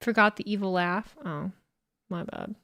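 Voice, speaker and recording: A woman's voice: medium pitch, unhurried, fairly bright tone, dry recording, clean studio sound. A woman streamer chatting casually, crude and self-amused, laughing often at her own jokes. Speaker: streamer reacting to wrestling. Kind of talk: casual, profane stream chat.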